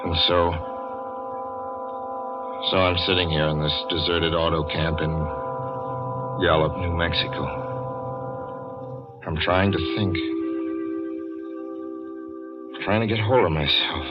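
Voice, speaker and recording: A man's voice: low voice, fairly even intonation, unhurried, long pauses, over loud music. A haunted traveller speaks with brooding intensity in the manner of 1940s radio drama. He is frightened and trips over words when rattled. The sound is a dull vintage broadcast recording. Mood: desperate, brooding. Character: frightened haunted male traveller narrating his nightmare road trip.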